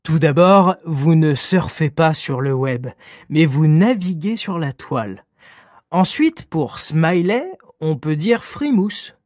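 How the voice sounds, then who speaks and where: A man answering interview questions in a clear, measured, scripted way for a language lesson. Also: a little high, expressive, darkish tone, no echo, studio quality.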